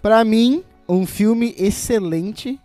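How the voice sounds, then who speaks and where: A man's voice: sits high, drawn-out words, dark tone, no room sound, faint music behind. A man hosting a casual podcast chat, restarting his sentences often as he steers the conversation. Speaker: podcast host.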